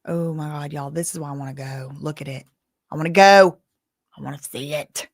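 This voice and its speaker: A woman's voice: sits low, a little fast, no room sound, studio quality. A woman hosting a casual livestream, recapping court testimony, reading the chat and reacting with exclamations. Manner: chatty, reads chat, gasps and exclaims. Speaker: livestream host recapping a trial.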